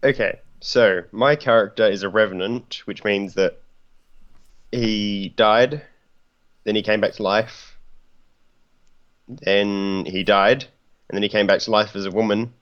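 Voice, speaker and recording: A man's voice: lowish voice, fairly even intonation, measured pace, pauses often. A man talks casually as a tabletop game player, laying out his character point by point. The recording is dull and lo-fi.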